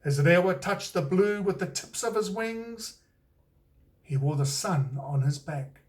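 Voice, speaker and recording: A New Zealand man narrates a picture book in a slightly high voice. He takes his time, reading very slowly and expressively. The microphone sits a little way off from him. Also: far from the mic. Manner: unhurried, expressive read-aloud delivery. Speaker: author and storyteller.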